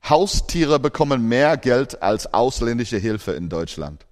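A man's voice: mid-range voice, sing-song, unhurried, darkish tone, in a large hall. A man born in Australia preaching a sermon in German, earnest, with grammar slips.

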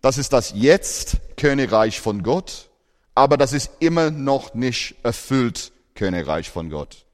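A man's voice: medium pitch, lively intonation, slow pace, big-room sound. A man born in Australia preaching a sermon in German, earnest, with grammar slips.

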